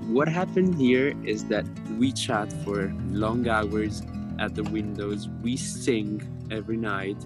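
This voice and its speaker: A woman's voice: rumbling low, slow. A woman recalling her experience with gratitude in a recorded clip. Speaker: interviewee. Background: guitar music.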